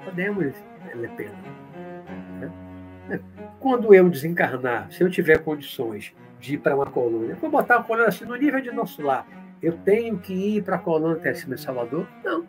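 A man with a fairly high voice that swings widely and expressively. He explains patiently, reads viewer comments aloud and answers each in turn. Soft cello music plays faintly underneath. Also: big pitch swings, a little fast. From Brazil, born in Olinda, Pernambuco. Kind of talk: live-stream talk reading and answering comments.

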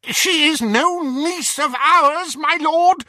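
A man's voice: very high voice, expressive, a little slow, bright, forceful tone, no echo, clean studio sound, pitched above the performer's own voice, exaggerated voice. A man voicing a fanatical woman follower, crying out and desperate to please. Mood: indignant. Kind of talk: audiobook character voice.

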